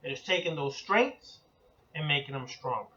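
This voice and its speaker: A man with a slightly raised pitch teaches in a measured, deliberate way, stacking his points one after another in lists. The recording is dull and lo-fi, and he sounds set back from the microphone. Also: animated, sharp tone, recorded from a distance, a little hiss or hum. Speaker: occult teacher. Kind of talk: spoken lecture.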